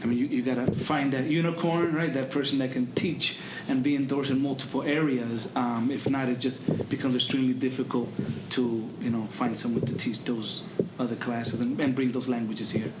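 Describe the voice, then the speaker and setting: A man speaking as a school administrator in a public meeting, haltingly, often repeating words and phrases. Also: mid-range voice, steady tone. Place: large meeting room. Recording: echoey room with clear background noise.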